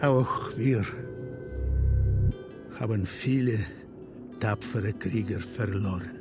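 Very slow, grave Comanche chief. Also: male voice, fairly low pitch. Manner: ponderous, ceremonial, weighty pauses. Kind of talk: German radio drama acting. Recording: loud music behind the voice.

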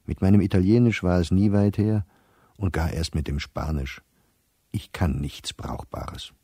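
An actor playing a middle-aged German scholar who reads his despondent, anxious diary in a measured, restrained way for a radio play. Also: sits low, warm tone, breathy edge, no echo, studio quality, full character voice. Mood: bitter, helpless.

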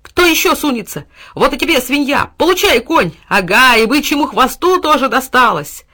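Woman as loyal fighting dog, high-pitched, excited, forceful, storybook hero. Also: normal speed. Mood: fierce, excited. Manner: excited shouting, fervent exclamations. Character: loyal, fierce, devoted male dog defending his master.

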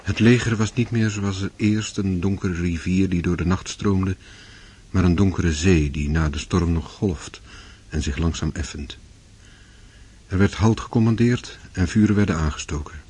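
An actor narrating a war story in a radio drama as a grave storyteller. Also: male voice, low-pitched, normal speed, darkish tone, slight background noise, close to an everyday voice. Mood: sombre.

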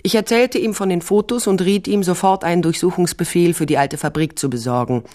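An actress playing a determined, wry private investigator, narrating a crime drama in the first person, dry and matter-of-fact. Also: female voice, on the low side, brisk, a little mellow, lightly acted. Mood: sober, dry. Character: resolute, wry female private investigator narrating her case.

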